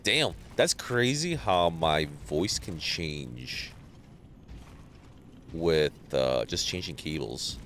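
A man streaming a game live, chatting casually with viewers and greeting them by name, sounding a little stuffy from allergies, on a boom mic. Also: very slow, slight background noise. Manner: greets chat viewers, relaxed banter. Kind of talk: casual live gameplay chat.

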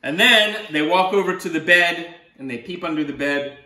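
A man's voice: a little high, normal speed, sharp tone. A middle-aged man, a children's author, reading a picture book aloud expressively, with explanatory asides, in a living room. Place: small living room, indoors on a sofa. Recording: roomy living-room echo.

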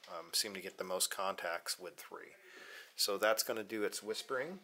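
A man, chatting casually and haltingly to a handheld camera, often correcting himself. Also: low-pitched, bright, forceful tone. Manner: rambling, halting, self-correcting. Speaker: vlogger, amateur radio hobbyist. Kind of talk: casual vlog chat. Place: indoors, basement workshop and house room. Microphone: camera at arm's length. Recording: background noise, handheld camera audio.

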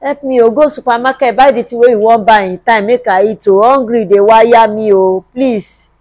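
A woman's voice: on the high side, darkish tone, no room sound, close to an everyday voice. A woman acting a hungry, long-suffering mother in a film drama, pleading repetitively and plaintively with a Nigerian accent. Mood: weary pleading. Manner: plaintive, repetitive begging with drawn-out wails.

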